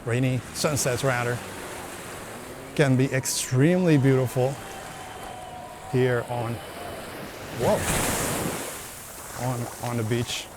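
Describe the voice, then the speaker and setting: A man's voice: medium pitch, steady tone, slow pace, long pauses. A man narrating a casual travel vlog as he strolls along a beach at sunset, talking in an easygoing way and repeating words as he goes. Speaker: travel vlogger. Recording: outdoor beach recording with surf noise. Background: waves and surf.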